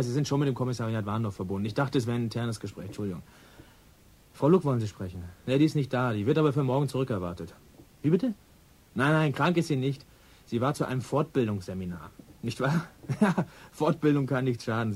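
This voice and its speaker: A man's voice: mid-range voice, lively intonation, fast talker, a little mellow, husky. An actor playing a self-important, mildly grumpy policeman in a radio drama, officious and colloquial. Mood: irritated, flustered. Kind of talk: naturalistic radio drama acting.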